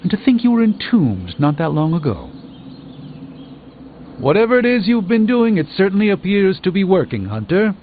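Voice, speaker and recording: An actor playing a learned, dryly witty sorcerer superhero in a video game, speaking formally and theatrically. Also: male voice, high voice, very animated, moderate pace, slight background noise. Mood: admiring. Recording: dull game audio under music.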